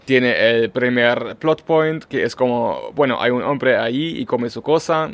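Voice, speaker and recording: A man with a German accent chats casually as a podcast co-host. He talks at an ordinary pitch and thinks aloud, pausing often on fillers and restarting his sentences. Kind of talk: casual chat.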